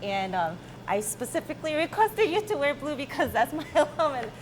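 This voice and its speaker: A woman's voice: high voice, very animated, a little fast. American woman interviewee, noisy background. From born in South Korea, grew up in Michigan, USA. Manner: informal, checks in with "right?" and "you know". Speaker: company chief executive being interviewed. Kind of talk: conversational interview answers.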